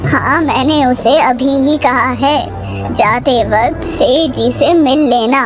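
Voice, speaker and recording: Woman as an exasperated, high-voiced cartoon village mother. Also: slow, over music. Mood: weary pleading. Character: exasperated village mother of a grown son, plain-spoken. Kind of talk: Hindi cartoon acting.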